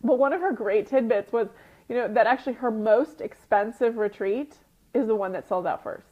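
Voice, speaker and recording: A woman's voice: fairly high pitch, moderate pace. A woman with a slightly sniffly, runny-nosed sound gives an informal coaching talk, explaining things conversationally and often checking in with short tag questions. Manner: conversational teacher, often checking in with "right?" and "okay?". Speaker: retreat business coach and group host. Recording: dull lo-fi audio.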